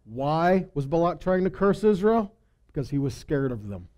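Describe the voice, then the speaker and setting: A man delivers a scripture-teaching sermon in a fairly high yet warm, relaxed voice. He stresses key phrases, poses questions to his listeners and answers them himself, then drops into quieter asides. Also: normal speed. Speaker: congregation teacher or preacher.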